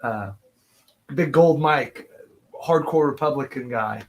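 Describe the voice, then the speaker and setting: A man's voice: mid-range voice, takes it slowly. A man in his thirties, a podcast guest, telling long rambling stories in casual chat, laughing often and restarting his sentences. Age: 30s.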